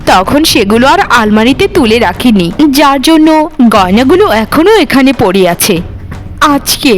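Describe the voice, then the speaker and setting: A woman voicing a greedy, scheming, sharp-tongued housemaid in a cartoon, with dramatic, emphatic repetition. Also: on the high side, lively intonation, moderate pace, slight background noise, natural voice. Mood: greedy, excited. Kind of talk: Bengali cartoon voice acting.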